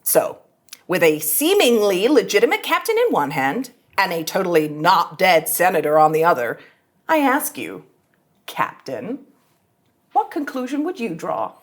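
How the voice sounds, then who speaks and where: A woman's voice: on the low side, big pitch swings, unhurried. A woman playing a cocky, persuasive young female starship ensign in a theatrical, sardonic sci-fi script reading. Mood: smug, persuasive. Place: studio.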